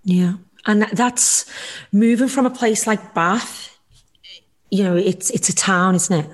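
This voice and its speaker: A woman's voice: medium pitch, measured pace. A woman hosting a conversational interview in an informal, encouraging manner. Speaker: interviewer, host.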